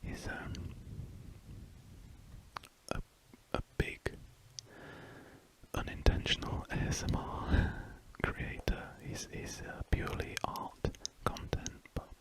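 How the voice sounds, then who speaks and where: A man in his twenties whispering a soft, rambling commentary while he draws, as an ASMR host. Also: slow pace, pauses often, rough recording. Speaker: art channel host.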